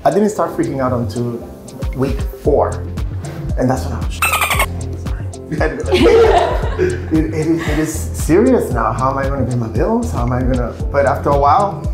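A man's voice: mid-range voice, huge pitch swings, normal speed. A man in his thirties from Puerto Rico, a hairstylist chatting casually on camera, rambling and searching for words. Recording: roomy sound with music behind.

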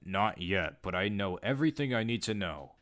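A creepy man in his late twenties, put on by a male narrator, speaks in a deep voice. He is smug and boastful, coaxing and pushy in an online story. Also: expressive, normal speed, fairly bright tone. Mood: insistent, creepy.

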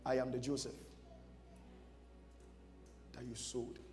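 A man's voice: mid-range voice, monotone, slow pace, a little mellow. A man, preaching a dramatic storytelling sermon emphatically, repeating short phrases. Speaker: pastor preaching. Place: large church stage before a seated audience. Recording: music behind, long hall reverb.

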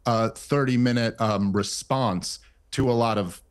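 A man's voice: mid-range voice, unhurried. A man, a guest commentator, defending his view in a casual yet insistent way. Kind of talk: debate interview.

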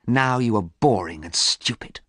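A man voicing a proud young gentleman, bitter and wounded in love, in a storybook reading. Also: fairly low pitch, expressive, moderate pace, no echo, clean studio sound, voice pushed up. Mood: cold anger.

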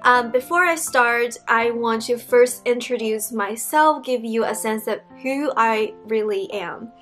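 A woman's voice: fairly high pitch, measured pace, fairly bright tone. Young woman, Mandarin Chinese accent, English teacher vlogging, small room, light background music. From Beijing, China. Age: young adult. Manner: friendly, explanatory, with frequent ums and restarts. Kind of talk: casual talk to camera. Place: small indoor room with wood-panelled wall.

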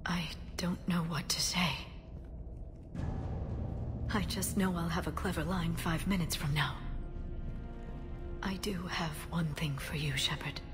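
An actress voices a young female alien scientist in a game cinematic. She speaks softly and sincerely in a fairly low, breathy voice, wounded yet resolute. Clear music plays beneath her. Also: steady tone, lightly acted. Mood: subdued, tender. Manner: measured, sincere, emotional. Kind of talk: video game cinematic acting.

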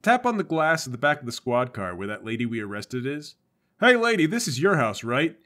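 A man's voice: mid-range voice, very animated, fairly quick, sharp tone. A man playing a bossy, exasperated police officer in an improvised comedy tabletop game, barking orders and indignant questions. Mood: commanding.

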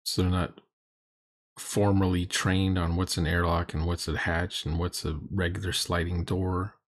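A man's voice: low voice, flat delivery, measured pace. A middle-aged man giving critical, sarcastic commentary on a roleplaying game in a grumbling, mocking way. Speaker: commentator reacting to a recorded roleplaying session.

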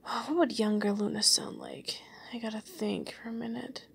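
A woman voice actor muttering quietly and self-critically to herself between takes. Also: on the high side, moderate pace, gravelly, husky. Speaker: amateur voice actor.

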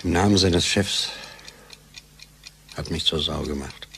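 An actor playing a weary, cynical lab scientist with a gravelly voice in a German radio drama. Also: male voice, low-pitched, measured pace, bright, forceful tone, slight background noise, heavily acted. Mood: bitter, exasperated.

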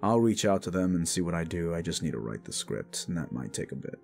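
A man's voice: low-pitched, sing-song, quick pace, vocal fry, a little mellow, soft background music. A man who is a little sick, giving a casual, rambling outro to listeners as an audio creator. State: a little sick, voice not fully recovered.

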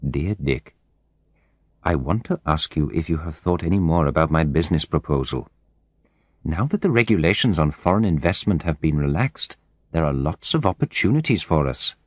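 A man's voice: rumbling low, lively intonation, normal speed, no echo, clean studio sound, pitched below the performer's own voice. A man voicing a friendly, eager Indian businessman's letter in an audiobook story, courteous and persuasive. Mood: earnest, friendly.

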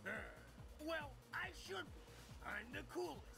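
Casting: man as boastful cartoon bad guy, lively swings, gravelly edge, music bed. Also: extremely high pitch, unhurried, exaggerated voice. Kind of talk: animated comic dub acting. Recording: replayed dub with music.

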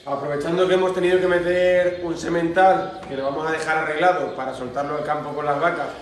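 A man's voice: on the high side, steady tone, fast talker. A man in his thirties with a Spain Spanish accent, giving an informal explanatory demonstration like a farmer showing a task. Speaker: cattle breeder demonstrating horn care. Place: cattle handling pen with metal chutes. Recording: echoey, noisy farm shed with clanking.

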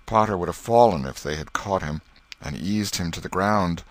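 A man narrating an audiobook in an expressive, literary storytelling style with a dramatic delivery. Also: sits low, moderate pace, dry recording.

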